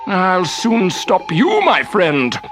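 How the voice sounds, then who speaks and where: A man's voice: high-pitched, huge pitch swings, slow, over music, pitched above the performer's own voice, heavily acted. A man voicing a grumpy, cunning old wizard in a storybook reading. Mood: grumpy, irritated. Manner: muttering, grumbling, wheedling.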